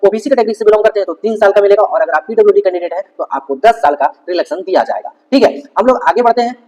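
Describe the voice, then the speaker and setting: Guy explaining job notice stuff for a video, talks really fast, high voice, big echo. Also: lively intonation, darkish tone. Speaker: YouTube host explaining government job vacancies. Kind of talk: informational video narration, walking through a notice on screen. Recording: echoey hall-like reverb.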